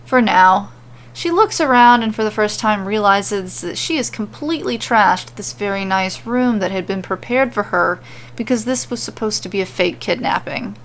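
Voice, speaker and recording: A woman's voice: mid-range voice, normal speed, slight background noise. A woman hosting and narrating a story podcast in an informal, conversational way. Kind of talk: casual chat and expressive story narration.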